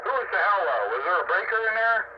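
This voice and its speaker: Noisy radio chat, higher-voiced man hosting. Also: animated, quick pace. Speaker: host of amateur radio round-up. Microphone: radio microphone at arm's length. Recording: noisy, dull two-way radio transmission.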